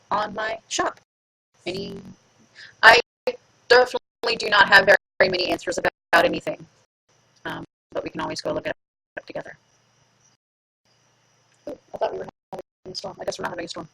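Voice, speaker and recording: A woman's voice: medium pitch, vocal fry, bright, forceful tone, husky, rough recording. A woman hosting a live stream from home, chatting casually and playfully with her viewers and teasing herself. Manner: chatty, playful, self-mocking. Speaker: livestream host.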